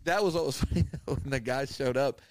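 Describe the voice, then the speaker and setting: A man's voice: medium pitch, fairly quick. A man, co-hosting a podcast, telling a rambling story, laughing often and acting out the people he quotes. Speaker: podcast co-host. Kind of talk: casual storytelling chat.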